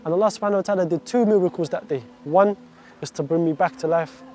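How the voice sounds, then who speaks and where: A man's voice: sits high, fast talker, dark tone. A man in his twenties from Iraq, giving an earnest, heartfelt faith testimony full of devout phrases. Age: 20s.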